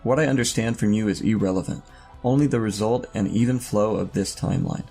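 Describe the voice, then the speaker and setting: An actor playing an enigmatic, commanding older robed man in an audio drama, with a gravelly voice and a solemn, cryptic, measured delivery. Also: lowish voice, warm tone, soft background music. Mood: stern, solemn.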